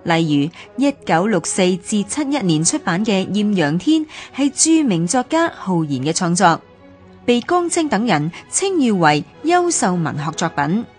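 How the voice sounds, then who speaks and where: A woman with a Hong Kong Cantonese accent narrates a radio documentary over music. Her delivery is formal and scripted, yet her pitch moves in lively rises and falls. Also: measured pace. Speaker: narrator of a radio history series.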